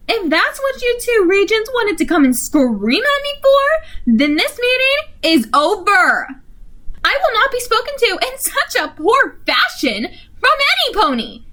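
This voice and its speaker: A woman's voice: extremely high pitch, bright, forceful tone, full character voice. A woman voicing a haughty, snobbish young unicorn princess with prim, pompous diction in an audio drama.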